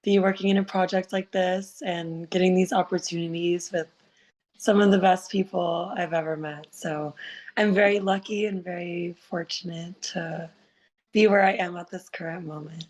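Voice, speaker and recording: A woman in her twenties with a low, raspy voice, chatting eagerly as a musician guest on a video call, full of likes and restarts. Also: fairly even intonation, normal speed, a little mellow, poor audio. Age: 20s. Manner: enthusiastic, many likes and restarts. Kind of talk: casual interview chat.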